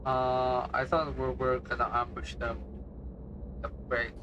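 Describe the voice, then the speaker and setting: A man's voice: mid-range voice, monotone, unhurried, muffled recording, noisy background. A man streaming a game, reacting casually in short slangy mutters and reading on-screen text aloud.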